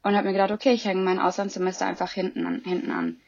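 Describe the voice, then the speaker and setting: Young woman, interviewee, gravelly low-ish voice, chatty, dull lo-fi recording. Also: big pitch swings, rapid-fire, a little mellow, no room sound. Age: 20s. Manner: chatty, many fillers and restarts. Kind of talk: casual interview chat. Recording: dull lo-fi dry sound.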